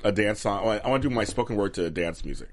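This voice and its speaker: A male host chatting eagerly in an interview, quick to agree and talk about his own ideas. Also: on the low side. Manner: fast, animated, eager, with lots of quick agreement. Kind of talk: casual interview chat.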